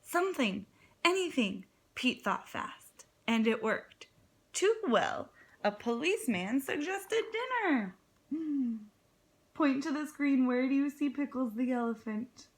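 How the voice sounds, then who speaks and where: A woman, a kindergarten teacher, reading a storybook aloud expressively, with dramatic pauses and encouraging questions for young listeners. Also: high voice, lively intonation. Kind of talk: children's read-aloud with prompting questions.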